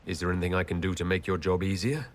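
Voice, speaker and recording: A man playing a courteous elven leader in a video game, measured and earnest. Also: deep voice, natural voice. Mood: diplomatic. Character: composed, courteous male elf leader, earnest and concerned. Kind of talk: dramatic video game dialogue.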